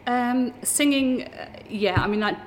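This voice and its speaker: A woman's voice: a little high, measured pace, slight background noise. A middle-aged woman, an amateur theatre actor, chatting in an interview, hesitant and self-deprecating. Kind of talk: casual interview.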